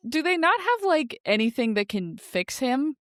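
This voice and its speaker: A woman's voice: sits high, very animated, moderate pace, fairly bright tone, no echo, studio quality. A woman co-hosting a casual book discussion, informal and often laughing as she talks. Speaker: podcast co-host.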